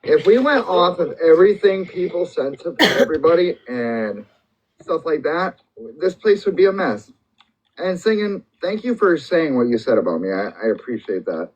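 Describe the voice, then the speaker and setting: A man's voice: on the high side, very animated, moderate pace. A man hosting a casual live stream, talking emphatically and defensively and often repeating himself. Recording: dull lo-fi stream audio.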